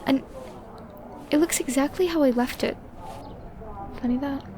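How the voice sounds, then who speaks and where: Woman playing a high-voiced, bossy younger sister. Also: a little slow, warm tone, slight background noise. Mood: subdued. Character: playful, bossy younger sister, bantering and easily flustered. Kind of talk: audio drama dialogue.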